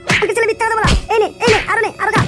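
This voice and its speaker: A performer voicing an aggressive young village lad, blunt and forceful, in an exaggerated comic Bengali drama style. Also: very high-pitched, steady tone, rapid-fire, over loud music. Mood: furious.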